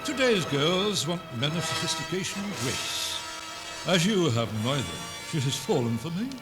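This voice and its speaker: Male actor as dastardly squire, suave and self-important, swooping delivery, 1970s hospital radio comedy with loud music. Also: on the high side, big pitch swings, moderate pace. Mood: smug. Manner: pompous, grandly phrased. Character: dastardly, smug country squire, suave rival in love. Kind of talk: amateur comedy radio play acting.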